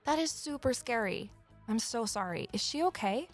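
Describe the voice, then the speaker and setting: An actress playing an earnest woman amateur investigator in an audio drama, talking in a gentle, sincere, conversational way. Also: on the high side, lively intonation, normal speed, faint music behind. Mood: concerned. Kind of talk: audio drama dialogue and first-person narration.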